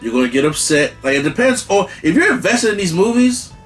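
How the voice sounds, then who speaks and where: A man's voice: sits high, big pitch swings, a little fast, a bit bright, soft background music. Rambling, emphatic man reviewing a movie. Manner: loose, rambling, emphatic with slang and profanity. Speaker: movie reviewer, channel host. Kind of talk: casual spoken movie review.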